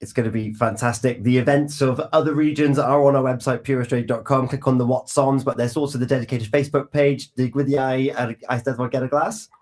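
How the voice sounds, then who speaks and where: Brief: male breakfast radio host, mid-pitched voice, chatty and upbeat. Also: brisk, hardly any pauses. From Wales. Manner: chatty, lively questions with warm asides. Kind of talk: casual radio chat.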